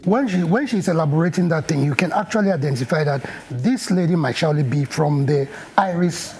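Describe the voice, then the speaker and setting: Rambling male commentator with a gravelly, higher voice and faint background music. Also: brisk, a little mellow. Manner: explanatory, rambling, fond of saying "you know". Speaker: panelist. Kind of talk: talk-show commentary.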